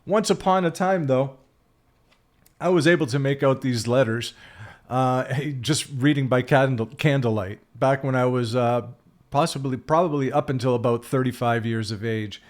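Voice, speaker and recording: A man's voice: mid-range voice, normal speed. A man, talking in a reflective solo video, rambling and correcting himself, candid and emphatic at times. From Canada. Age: 60s. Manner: rambling, with frequent self-corrections and fillers. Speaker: spiritual vlogger.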